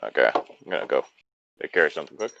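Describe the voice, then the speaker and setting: A man's voice: extremely low pitch, very animated, fast, gravelly, dull audio, noisy background. A man casually reading a story aloud as host, breaking off for joking, sweary asides.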